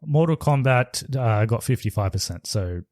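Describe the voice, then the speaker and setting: A man's voice: mid-range voice, lively intonation, normal speed, darkish tone, no echo, clean studio sound. A man co-hosting a podcast in casual chat, explaining things step by step with hesitant restarts. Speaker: podcast co-host.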